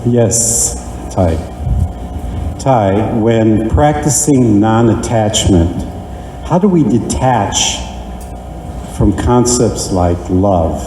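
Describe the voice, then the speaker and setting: A man moderating a temple Q&A, reading out questions in a friendly, measured way. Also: on the low side, expressive. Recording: very echoey hall with background noise.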